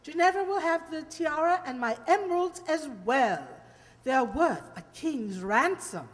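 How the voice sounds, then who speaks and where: A woman plays an imperious, breezy English dowager for a radio play. Her high voice swoops grandly and emphatically, ringing in a reverberant hall. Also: expressive, a little slow. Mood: breezy, triumphant. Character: rich English dowager, breezy, commanding, delighted. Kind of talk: old-time radio drama acting. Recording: echoey hall, long reverb.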